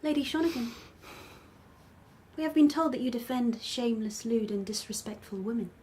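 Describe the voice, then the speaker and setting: High, breathy, imperious young empress. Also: female voice, moderate pace, mellow. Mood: cold, accusing. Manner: haughty, clipped, royal formality. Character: tiny, imperious young empress, cold and cutting. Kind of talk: radio drama acting.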